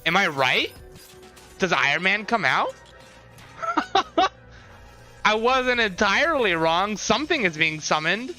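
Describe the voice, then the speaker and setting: A man's voice: high voice, wildly swooping pitch, takes it slowly, pauses often, sharp tone. A man live streaming casual reactions and commentary, rambling as he thinks aloud, with music behind him. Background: game showcase trailer audio and music.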